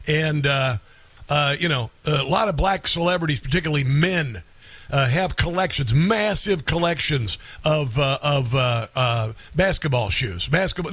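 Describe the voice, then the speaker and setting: A man's voice: fairly high pitch, fairly bright tone, dry recording. A male radio talk show host delivering an indignant, scoffing opinion monologue into a studio microphone.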